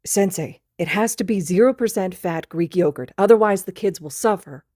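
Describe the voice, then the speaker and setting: A woman's voice: mid-range voice, normal speed, a little mellow, no echo, clean studio sound, pitched above the performer's own voice, close to an everyday voice. A woman voicing a giddy, intoxicated teenage boy student who giggles and rambles in a storybook reading. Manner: giggly, rambling, sing-song. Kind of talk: fan fiction read aloud.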